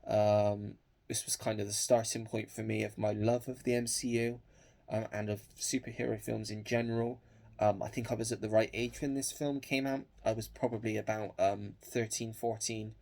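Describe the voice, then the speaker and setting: A man's voice: lowish voice, level tone, moderate pace, vocal fry, darkish tone. A young man with a cold, sniffling as he chats hesitantly with lots of ums about his film collection. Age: young adult. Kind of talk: casual collection showcase.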